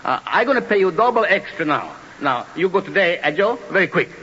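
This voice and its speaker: An actor playing a jolly, excitable older Italian grape grower with a thick Italian accent, broken English and a stammer, in 1940s radio acting. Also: male voice, high-pitched, fairly quick, exaggerated voice. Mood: nervous. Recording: dull old radio broadcast with faint hiss.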